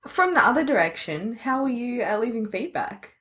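A woman's voice: fairly high pitch, sing-song, normal speed, sharp tone, breathy edge, dull audio. A woman chatting casually and agreeably in a podcast conversation. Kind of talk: casual chat.

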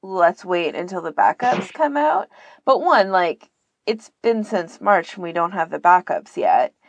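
A woman's voice: on the low side, expressive, measured pace, no room sound, lo-fi sound. A woman explaining things in a casual podcast chat, informally and with plenty of filler words. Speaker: podcast guest and volunteer archivist.

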